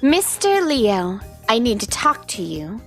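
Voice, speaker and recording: A woman's voice: fairly high pitch, sing-song, takes it slowly, full character voice. A woman voicing a strict young female teacher in a manga story, formal and proper but flustered underneath. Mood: strict, composed. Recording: music bed under the voice. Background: music.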